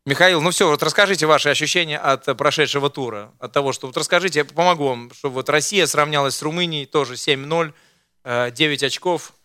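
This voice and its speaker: A man's voice: a little high, fast talker, pushed and bright, smooth, dry recording, clean studio sound. A man hosting a casual football chat, rambling and teasing, with many hesitations. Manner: rambling, teasing, full of fillers. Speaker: host.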